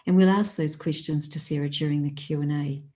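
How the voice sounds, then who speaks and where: A woman host introducing a guest speaker and welcoming viewers to an online talk in a measured, welcoming manner. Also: low-pitched, steady tone, warm tone.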